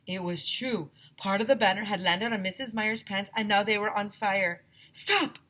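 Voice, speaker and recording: A woman teacher reading a children's story aloud expressively, with an animated, emphatic manner, addressing young listeners directly. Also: medium pitch, normal speed.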